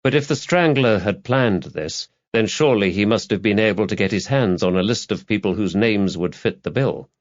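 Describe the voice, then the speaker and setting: Low-voiced, expressive male audiobook narrator. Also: moderate pace, few pauses, muffled recording. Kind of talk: scripted crime-novel narration.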